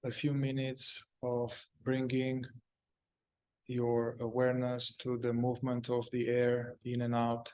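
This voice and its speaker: A man leading a guided meditation, speaking calmly in short, halting phrases with long pauses. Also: mid-range voice, smooth.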